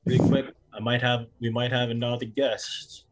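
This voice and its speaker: A man hosting a casual podcast, relaxed and laid-back as he asks his friends questions. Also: mid-range voice, normal speed, very noisy. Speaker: podcast host. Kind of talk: casual chat.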